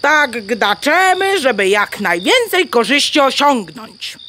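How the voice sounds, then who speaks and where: High, pompous mother hen. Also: sing-song, slow, sharp tone, a little hiss or hum, exaggerated voice. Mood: bossy, lecturing. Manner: pompous, lecturing, clucking between words. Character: bossy, self-important mother hen diplomat. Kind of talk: children's audio play acting.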